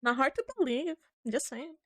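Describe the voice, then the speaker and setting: A woman's voice: high voice, fairly quick, fairly bright tone, no room sound, studio quality. A woman chatting casually as a player in a tabletop roleplaying game, moving between speaking for her character and table talk.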